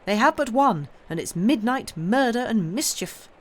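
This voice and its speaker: A woman voicing a fierce, loyal older Englishwoman, talking briskly and emphatically, with her voice lifting high and loud. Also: expressive. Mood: emphatic.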